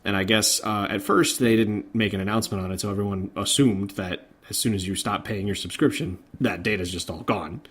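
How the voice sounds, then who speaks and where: A man's voice: on the low side, fairly even intonation, brisk, hardly any pauses, fairly bright tone, slightly rough. A man co-hosting a gaming podcast, explaining things in an easygoing, casual way. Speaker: podcast co-host.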